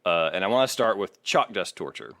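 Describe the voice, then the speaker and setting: A man's voice: deep voice, sing-song, fairly quick, a bit bright, dry recording, studio quality. A man hosting a casual music podcast, with a wry, sardonic manner. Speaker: podcast host.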